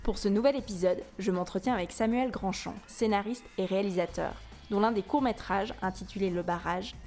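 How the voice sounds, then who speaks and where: A woman's voice: medium pitch, a little fast, darkish tone. Woman hosting a podcast, music behind. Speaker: podcast host and interviewer. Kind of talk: scripted podcast introduction and interview.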